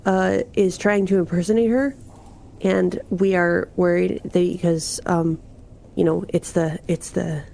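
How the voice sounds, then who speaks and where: A woman's voice: mid-range voice, darkish tone. A woman playing in a tabletop roleplaying game, improvising in a casual, conversational way.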